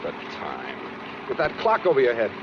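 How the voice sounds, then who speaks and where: An actor playing a tired, suspicious killer on the run in a 1940s radio suspense drama, clipped and sardonic. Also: male voice, mid-range voice, huge pitch swings, moderate pace. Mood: menacing, accusing. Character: tired, menacing fugitive killer, curt and suspicious. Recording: noisy, muffled 1940s radio recording.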